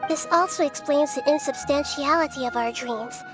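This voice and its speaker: A woman voicing a small, gentle girl goddess who explains things in a measured, earnest way in a video game. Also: high-pitched, close to an everyday voice. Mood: earnest explaining. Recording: music behind the voice.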